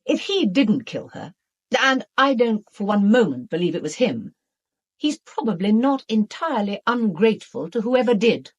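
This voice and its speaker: A woman's voice: medium pitch, big pitch swings, moderate pace, breathy edge, highs cut off. An actress with a British accent playing a bitter, outspoken woman in a radio drama, blunt and emphatic. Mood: bitter, agitated.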